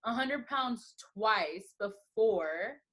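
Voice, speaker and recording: A woman's voice: medium pitch, lively intonation, very slow, fairly bright tone. A woman in her late twenties hosting a podcast, asking questions in a chatty, casual way. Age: 20s. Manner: chatty, asks questions, uses "you know". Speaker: podcast host. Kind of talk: casual interview chat.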